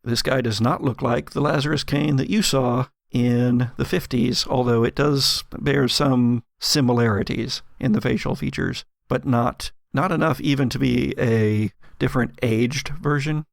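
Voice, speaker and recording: Creaky man narrating a horror game. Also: mid-range voice, fairly even intonation, a little slow, hardly any pauses, no echo, clean studio sound. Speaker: game master. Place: home studio.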